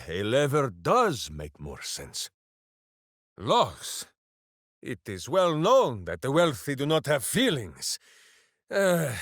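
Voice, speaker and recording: Boisterous hamster-loving ranger; measured, deliberate delivery, voice leaps wildly in pitch. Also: male voice, dry recording, clean studio sound, heavily acted. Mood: puzzled. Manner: booming and theatrical, refers to himself in third person. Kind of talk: video game voice lines.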